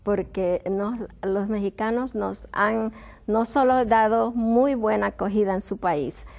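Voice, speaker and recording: A woman's voice: mid-range voice, steady tone, unhurried, creaky, darkish tone. A middle-aged woman hosting a television health program, welcoming and interviewing a guest with frequent hesitations and restarts. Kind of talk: friendly TV interview.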